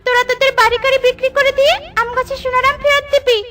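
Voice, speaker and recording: Peacock queen for a cartoon, female performer: extremely high, sharp, stern and commanding, quick to threaten. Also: little pitch movement. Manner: imperious, scolding, threatening. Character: stern, outraged peacock queen ruling the forest. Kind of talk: cartoon storybook acting.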